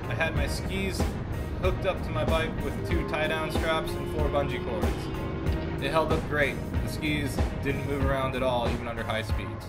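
A man's voice: on the high side, moderate pace, loud music behind the voice. A man giving a scripted vlog voice-over as the presenter. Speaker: vlogger.

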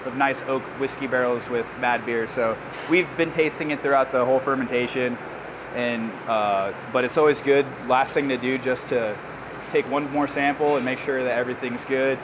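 A man in his thirties working as a brewer, explaining barrel filling in a relaxed, casual way on a brewery floor. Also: medium pitch, normal speed. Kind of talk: hands-on explanation. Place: large brewery floor with steel tanks, indoors. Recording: clear machinery and air-con noise.

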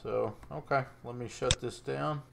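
A man's voice: medium pitch, steady tone, unhurried, gravelly, a crowd in the background. A man giving a casual, unscripted demonstration as a radio hobbyist.

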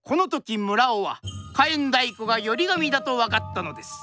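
A woman narrates in a formal storyteller's style. Her voice is slightly high and rises and falls expressively, polite throughout, with clear music behind her. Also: very animated, moderate pace.

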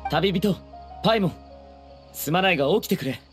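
A man voicing an expressive, earnest, slightly fussy young man in an anime game. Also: high-pitched, a little fast, soft background music. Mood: puzzled.

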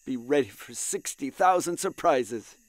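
A man's voice: medium pitch, animated, measured pace, no echo, natural voice. An actor playing a welcoming innkeeper in a puppet film, inviting and theatrical as he promises marvels.

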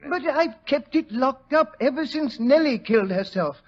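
A man's voice: very high-pitched, lively intonation, moderate pace. An actor playing a timid, pleading man under suspicion, stammering protests in 1940s radio style. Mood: anxious. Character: meek, timid, pleading male murder suspect. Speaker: actor in a detective radio drama. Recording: muffled 1940s radio recording.

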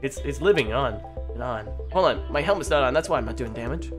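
A man playing a video game with excitable, exclamatory roleplay chatter. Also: mid-range voice, fast, soft background music. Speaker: gaming video player in roleplay.

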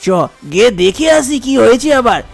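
An idle young village son played by a man in a cartoon tale, anxious and easily upset. His voice is high and creaky. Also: animated, brisk, faint music behind, full character voice. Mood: puzzled, anxious. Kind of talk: Bengali cartoon story dialogue.

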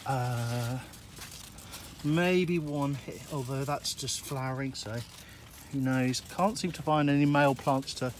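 A man's voice: medium pitch, slow, a little hiss or hum. A man giving a casual, rambling walkthrough of his allotment and polytunnel, filmed on a handheld phone outdoors. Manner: relaxed and rambling, with frequent uhs. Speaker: allotment gardener giving a tour. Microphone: handheld phone camera, filming while walking.

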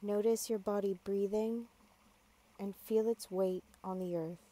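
A woman guiding a yoga nidra meditation, giving calm, measured, gentle instructions with long pauses. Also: mid-range voice. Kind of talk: guided meditation, slow soothing instruction.

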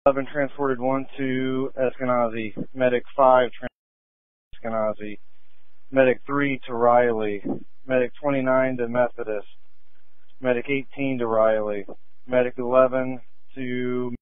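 Man, incident commander, procedural radio report, monotone, dry phone-like sound. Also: medium pitch, unhurried, dry recording. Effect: two-way radio. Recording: narrow phone-like scanner radio audio.

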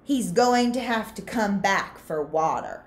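Woman reading as female camp warden, storybook, stern, condescending, raised pitch, measured pace. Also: expressive, lightly acted. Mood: cold command. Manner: firm, condescending.

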